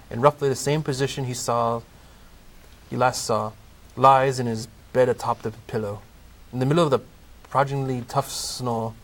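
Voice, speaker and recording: A man narrating a comedic Christmas audio play from a script, in storybook style. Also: mid-range voice. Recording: clearly noisy amateur recording.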